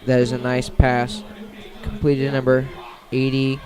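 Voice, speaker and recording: A man's voice: mid-range voice, monotone, measured pace, a little mellow. A man giving radio-style play-by-play commentary on a football game.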